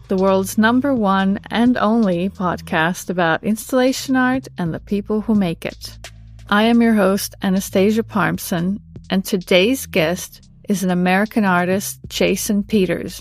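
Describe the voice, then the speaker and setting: A woman hosting a podcast, giving prepared introductions and putting questions to her guest. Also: medium pitch. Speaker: podcast host, interviewer.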